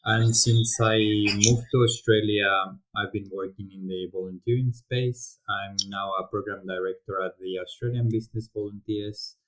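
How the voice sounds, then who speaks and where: A man with a Spanish accent speaks in a low, fairly flat voice. He talks casually and thoughtfully as a podcast guest, pausing with ums and restarting his sentences. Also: low-quality recording. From Spain. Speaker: podcast guest, volunteering program director. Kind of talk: casual interview talk.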